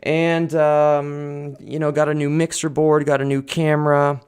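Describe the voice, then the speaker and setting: A man's voice: on the high side, level tone, unhurried, clear, resonant tone. A man talking to camera at home into a desk mic, earnest and rambling, with many fillers and restarts. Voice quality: loud voice. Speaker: vlogger. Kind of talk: casual personal talk to camera. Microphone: desk condenser mic on a boom arm.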